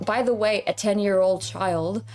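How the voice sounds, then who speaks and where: A woman's voice: mid-range voice, fairly even intonation, measured pace, a little mellow. Woman telling a personal story over music. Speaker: online content creator telling own story.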